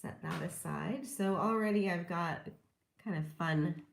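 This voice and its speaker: Crafting lady, slow and easygoing, bit hoarse from a cold, echoey room. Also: fairly low pitch, a little hiss or hum. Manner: gentle, chatty, laughs softly while working. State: slightly hoarse from a lingering cold. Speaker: papercrafting instructor. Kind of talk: casual craft tutorial demonstration.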